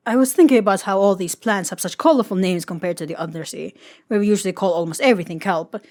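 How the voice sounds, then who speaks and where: A woman's voice: medium pitch, fast, darkish tone, close to an everyday voice. A woman voicing a young triton adventurer in a story, who speaks formally and awkwardly, with stammers and eager rambling. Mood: flustered, rambling. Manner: stilted formal phrasing with stammers.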